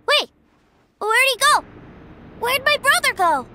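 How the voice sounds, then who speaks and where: A woman's voice: very high-pitched, sing-song, takes it slowly, background noise, heavily acted. An eager little boy. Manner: eager and wide-eyed, easily thrilled. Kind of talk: game voice acting.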